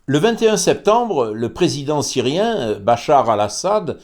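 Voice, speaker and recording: A man hosting a commentary series, reading a scripted text in a measured way with frequent hesitations and a pointed, argumentative tone. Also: mid-range voice. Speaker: host presenting a commentary series. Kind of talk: scripted commentary read aloud.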